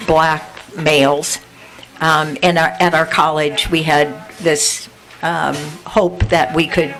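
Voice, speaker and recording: Soft-spoken older woman reading poetry. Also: low voice, rough recording. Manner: measured reading with pauses, then loose and halting between poems. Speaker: poet reading at an event. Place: indoor venue with an audience.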